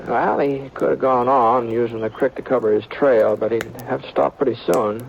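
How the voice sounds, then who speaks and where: An American actor playing an easygoing frontier gunman who also narrates, in halting, folksy radio western acting. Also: mid-range voice, fairly even intonation, vocal fry, natural voice. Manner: halting, folksy drawl with many hesitations. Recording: noisy dull old radio broadcast.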